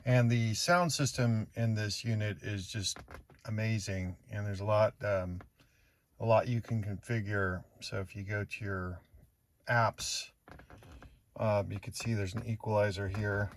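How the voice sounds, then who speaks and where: Low-voiced man demoing a car stereo. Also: unhurried, slight background noise. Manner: relaxed, hesitant with many fillers. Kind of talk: casual product demo. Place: inside a car. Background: music from the car stereo.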